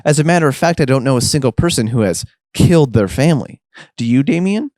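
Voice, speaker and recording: A man's voice: mid-range voice, lively intonation, moderate pace, a little mellow, no echo, clean studio sound, lightly acted. A man voicing a paranoid, aggressive young troublemaker who is confrontational and sarcastic in a read-aloud story. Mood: needling.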